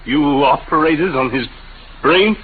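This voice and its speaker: A man's voice: a little high, phone-quality sound, lightly acted. An actor playing an anxious, despairing ordinary man, agitated and emotional, in 1950s radio drama style. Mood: indignant, alarmed. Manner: agitated, emotional, halting when frightened. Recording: scratchy, band-limited 1950 radio broadcast.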